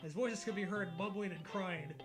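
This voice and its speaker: Male co-host, high voice, casual comedic read-along with sweary asides, light music behind. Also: normal speed. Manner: sweary, mocking. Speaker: co-host reading a story aloud. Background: background music.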